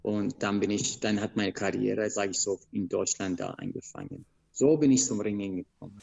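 A man from Iran with an Iranian accent, a wrestler recounting his career in an interview, speaking in halting, broken phrases with many restarts. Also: fairly low pitch, animated, creaky, darkish tone, lo-fi sound. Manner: broken grammar, frequent restarts and hesitations. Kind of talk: interview, telling his life story.

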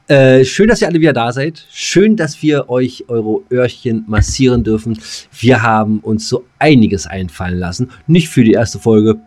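A man's voice: mid-range voice, lively intonation, normal speed, a little mellow. A man co-hosting a casual comedy podcast, jokey and mock-grandiose, often stopping to search for words. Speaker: podcast co-host.

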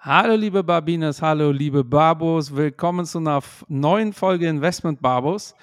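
A man's voice: a little high, moderate pace, soft background music. A man hosting an investing podcast from his home office, with upbeat greetings and a lot of fillers. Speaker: host. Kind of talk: podcast chat.

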